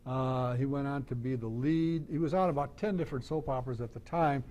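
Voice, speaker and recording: A man's voice: mid-range voice, a little fast, darkish tone, muffled recording. A man moderating a club talk, giving knowledgeable, detailed radio history off the cuff. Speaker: moderator, old-time radio expert. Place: club meeting room.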